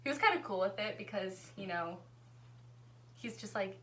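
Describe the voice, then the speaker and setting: A woman's voice: medium pitch, animated, normal speed, fairly bright tone. A woman hosting a casual couple vlog, talking in an easygoing, chatty way and laughing often. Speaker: vlogger hosting a couple tag video with her fiancé. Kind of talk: casual chat.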